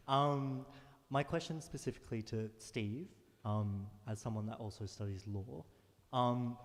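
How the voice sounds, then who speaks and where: A young man from the audience asking a question in a loud, casual way. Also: mid-range voice. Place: large cathedral hall. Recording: roving mic in a reverberant cathedral.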